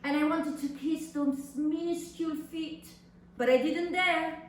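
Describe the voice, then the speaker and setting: A woman's voice: high voice, fairly even intonation, measured pace. A woman performing a theatrical monologue as an incredulous grown daughter, dramatic and exclaiming, lingering on words. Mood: tender reproach. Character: incredulous grown daughter reading her mother's secret love letters. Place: echoey room at a live public reading. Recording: echoey room from far off, faint noise.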